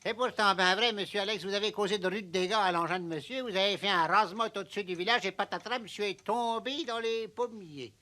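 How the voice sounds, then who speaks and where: Frank fussy villager, cartoon, high male voice, bright edge, emphatic. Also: a little fast, few pauses. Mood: reproachful. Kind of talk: cartoon voice acting.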